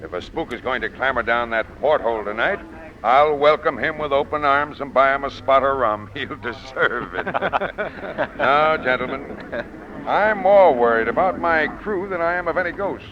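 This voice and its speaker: An actor playing a stern, no-nonsense sea captain with a blunt, commanding manner in 1940s radio style. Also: male voice, mid-range voice, lively intonation, normal speed, close to an everyday voice. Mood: hearty, blunt. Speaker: ship captain. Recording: dull, noisy old-time radio recording.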